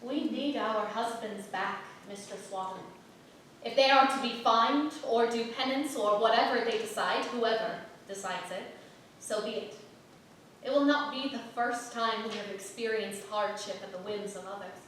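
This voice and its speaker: Reverberant distant stage, woman playing tired practical townswife, fairly high voice, firm formal phrasing. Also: normal speed, fairly bright tone, close to an everyday voice. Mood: weary resolve. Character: Agnes, a weary, pragmatic mother in an early modern town. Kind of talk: staged reading of a period stage play. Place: playhouse stage. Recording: echoey theatre from far off, faint noise.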